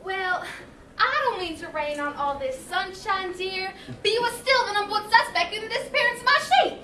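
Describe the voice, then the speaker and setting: An extremely high, sharp-voiced, folksy young shepherdess acted by a woman in a fairy-tale stage comedy. She exclaims excitably, some way from the mic in an echoey room. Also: normal speed. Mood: excitable. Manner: exclaiming, quaint homespun expressions. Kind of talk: youth stage comedy acting. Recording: echoey hall from far off.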